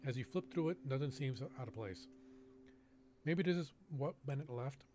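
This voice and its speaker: A man doing live gameplay commentary in a mid-pitched voice. He talks casually, half to himself, and reads game text out loud. Soft music plays behind him. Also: animated, normal speed. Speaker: game streamer. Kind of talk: live gameplay commentary, reading dialogue aloud.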